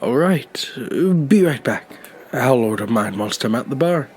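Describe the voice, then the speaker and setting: An actor playing a lonely elderly man who was once homeless, with a hesitant, gentle, wry way of talking in an audio drama. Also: mid-range voice, animated, measured pace, natural voice. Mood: polite, welcoming. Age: older. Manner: hesitant, reflective. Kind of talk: naturalistic audio drama dialogue.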